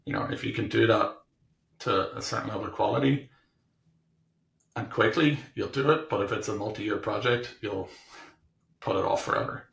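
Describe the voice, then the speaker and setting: A man being interviewed as a technology executive, explaining things casually in halting fragments as he thinks aloud. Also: fairly low pitch, gravelly, fairly bright tone. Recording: roomy, echoing room sound.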